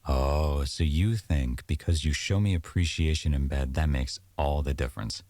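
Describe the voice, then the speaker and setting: A man's voice: extremely low pitch, moderate pace, mellow, dry recording. A man playing an aggrieved, sarcastic boyfriend arguing at home in an audio roleplay, accusatory and exasperated. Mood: defiant, bitter. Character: aggrieved, sarcastic boyfriend in a heated domestic argument.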